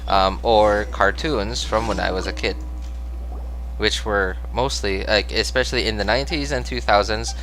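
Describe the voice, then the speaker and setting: A man's voice: fairly low pitch, fairly even intonation, normal speed, fairly bright tone, a little hiss or hum. A young man from the Philippines, chatting casually and apologetically with viewers as a game livestreamer, rambling and self-deprecating.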